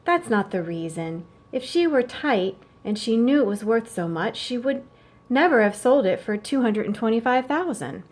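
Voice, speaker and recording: A woman's voice: a little high, big pitch swings, normal speed, a little mellow, voice pushed up. A woman reading as a thoughtful young girl in a children's storybook, an earnest older sister who reasons things out. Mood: puzzled, reasoning.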